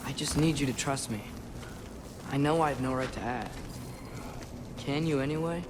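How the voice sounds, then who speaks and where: A young actor playing a restless, earnest teenage son in a video game, casual and a little hesitant. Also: male voice, medium pitch, normal speed, noisy background, lightly acted. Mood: guarded. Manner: casual, youthful, hesitant phrasing. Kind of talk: cinematic video game acting.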